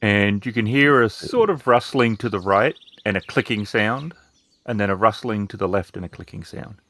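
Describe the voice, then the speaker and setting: A man's voice: fairly low pitch, normal speed, fairly bright tone. A man acting as game master, describing scenes in a tabletop role-playing game.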